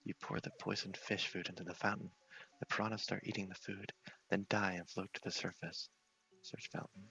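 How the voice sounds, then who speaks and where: A man giving soft, half-whispered ASMR commentary on a game playthrough, murmuring to himself and reading text aloud in an unhurried way. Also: deep voice, flat delivery, creaky, husky, dull audio. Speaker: game streamer, video host.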